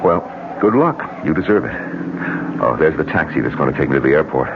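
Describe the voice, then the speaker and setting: A man's voice: rumbling low, expressive, fairly quick, gravelly, heavily acted. An actor with an American accent playing a stubborn, tough-talking but generous man in an old-time radio drama. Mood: friendly. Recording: muffled, noisy radio drama recording.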